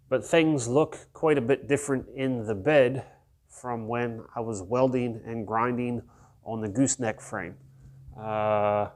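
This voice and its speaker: A man in his thirties with a congested, nasal voice, casually explaining his truck build as a DIY vlogger in his home garage, rambling with loose fillers. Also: medium pitch. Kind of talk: casual workshop vlog.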